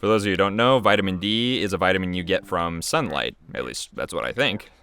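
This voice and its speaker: A man's voice: sits low, expressive, a little fast, background noise. A man giving casual, unscripted commentary as a video creator, rambling and chuckling in a conversational way.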